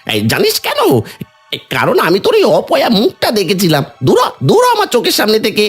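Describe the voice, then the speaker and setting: A man voicing a superstitious, grumbling woodcutter in a cartoon, husky and agitated, often stuttering out exclamations. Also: high voice, huge pitch swings, brisk, faint music behind, exaggerated voice. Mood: irate. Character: hot-tempered superstitious village woodcutter, grumbling and blaming. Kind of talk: cartoon voice acting.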